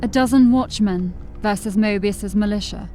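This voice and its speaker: An actress playing a sober, anxious corporal of a fantasy city watch in an audio drama, plain-spoken and questioning. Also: female voice, medium pitch, moderate pace, dark tone, slight background noise, lightly acted. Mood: worried, doubtful.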